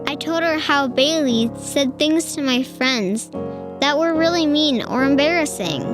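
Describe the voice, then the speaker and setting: A woman reading a children's storybook aloud in a deliberate storytelling manner. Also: high voice, over music.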